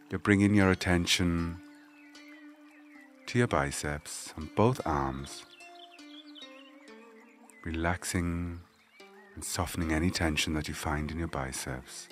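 A man leading a guided meditation, giving gentle, measured spoken instructions. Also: very deep voice.

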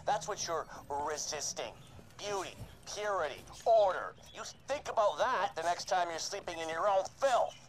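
An actor playing a smug, contemptuous video game villain with a theatrical, sneering delivery. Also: male voice, high voice, lively intonation, moderate pace, a little hiss or hum. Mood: smug, taunting. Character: smug, contemptuous male villain taunting resistance fighters.

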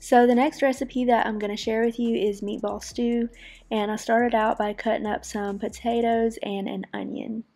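A woman casually narrating her cooking in a relaxed, chatty voice-over. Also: on the high side, normal speed, vocal fry, soft background music. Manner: relaxed, chatty, friendly explaining. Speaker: cooking vlogger.

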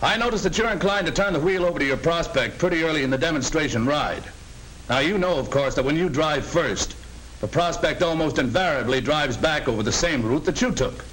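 An actor playing an earnest middle-aged American sales manager in a 1940 training film, speaking in a firm, instructive way. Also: male voice, on the high side, expressive, fairly quick, fairly bright tone. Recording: noisy old 1940 film soundtrack.

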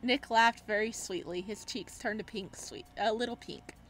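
A woman giving casual, expressive, exclamatory commentary as she plays through a game and reads it out. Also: medium pitch, moderate pace, a little hiss or hum, poor audio. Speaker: gaming streamer.

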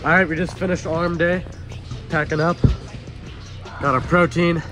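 A young man chatting casually while filming himself, joking in relaxed slang. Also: on the high side, normal speed, over music. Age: young adult. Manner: joking, laid-back slang. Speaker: fitness vlogger. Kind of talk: casual vlog chat. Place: gym, car and store.

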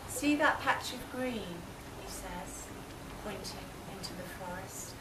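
Distant woman reciting poetry slowly. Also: medium pitch, lively intonation. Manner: deliberate, spacing out short phrases. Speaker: poetry reader. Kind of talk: slow live poetry recital. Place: inside a marquee at a live reading. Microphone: camera mic a few metres away. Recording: distant, echoey, noisy camera recording.

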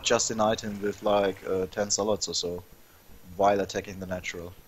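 A man's voice: low-pitched, level tone, normal speed, slight background noise. A man casting a strategy game match, explaining plays in casual commentary and laughing easily. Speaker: esports commentator.

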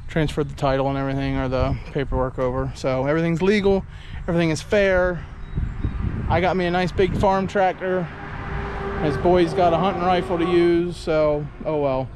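A man's voice: on the high side, fairly even intonation, moderate pace, background noise. Tired, folksy farm vlogger man. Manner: easygoing, folksy and chatty. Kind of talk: casual vlog narration. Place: outdoors on a farm and in a pickup truck. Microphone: handheld action camera at arm's length.

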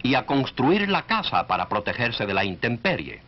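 A man's voice: medium pitch, very animated, moderate pace, slight background noise. A man narrating a 1940s educational film in an instructive way, speaking directly to the listener. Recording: dull, band-limited 1940s film soundtrack.

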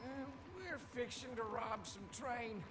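An actor playing a frightened, beaten outlaw prisoner pleading in pain in a Western video game. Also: male voice, very high-pitched, unhurried, background noise. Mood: scared, pleading.

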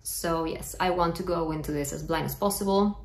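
Low-pitched woman chatting in an echoey room. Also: moderate pace. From South America. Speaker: book vlogger. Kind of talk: casual chat to camera. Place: small plain room at home, indoors. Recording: roomy indoor echo.

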